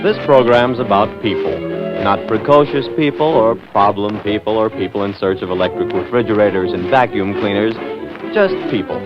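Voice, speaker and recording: American man, 1940s radio host, scripted narration, low voice, muffled vintage recording. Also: moderate pace, loud music behind the voice.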